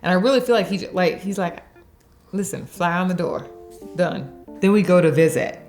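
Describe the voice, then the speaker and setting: A woman's voice: fairly low pitch, moderate pace, darkish tone, faint music behind. A woman in her forties from Texas, sharing her faith story in a chatty way, laughing often and using lots of fillers. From Dallas area, Texas. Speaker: interviewee. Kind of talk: personal faith testimony.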